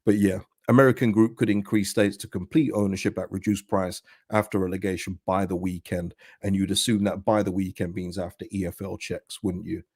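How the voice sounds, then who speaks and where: A man with a slightly husky voice, hosting a casual football fan commentary. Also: low voice, level tone, brisk, few pauses, a little mellow, no echo, studio quality. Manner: chatty, rambling, with frequent restarts and laughs. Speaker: football channel host.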